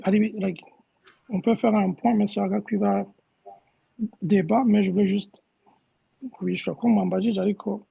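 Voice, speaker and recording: A man's voice: sits high, vocal fry, slightly rough, highs cut off. A man asking the candidate questions in a virtual meeting, talking hesitantly and mixing languages. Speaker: community member asking questions. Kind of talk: casual chat. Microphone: video call.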